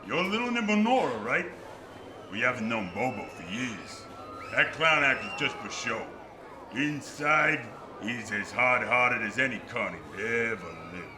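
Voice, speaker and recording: An actor playing an irritable, tough carnival barker with gruff, slangy hardboiled talk in an old-time radio play. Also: male voice, medium pitch, lively intonation, unhurried, close to an everyday voice. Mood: irritable, bitter. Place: live theatre stage before an audience. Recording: live stage recording with clear noise.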